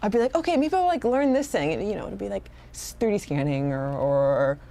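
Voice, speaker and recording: A woman's voice: mid-range voice, animated, a little fast, creaky, mellow. A woman in casual, reflective conversation, rambling and slipping into quoted asides as she explains herself.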